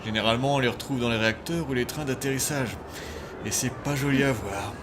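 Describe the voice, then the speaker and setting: A cynical, crude ticket clerk, acted by a man in a parody dub. His medium-pitched voice is deadpan, with clear background noise. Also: fairly quick, lightly acted. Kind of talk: comedy film parody dub.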